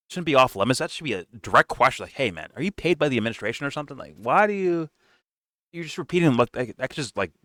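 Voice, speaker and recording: A man in his twenties hosting a casual reaction show, talking in an animated way, swearing and laughing often. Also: medium pitch, no room sound, clean studio sound. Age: 20s.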